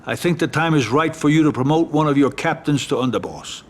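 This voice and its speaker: A man playing a cautious mafia family adviser in a game cutscene, calm and measured. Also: fairly high pitch, natural voice. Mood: advising. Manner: measured, reasoning. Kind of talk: dramatic game cutscene acting.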